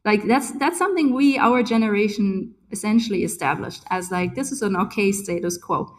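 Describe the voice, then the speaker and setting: A woman's voice: mid-range voice, moderate pace, a little hiss or hum. A woman in her forties speaking on a video call in casual chat, passionate and emphatic, often tagging "right?" onto her points. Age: 40s. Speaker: guest speaker on a community call.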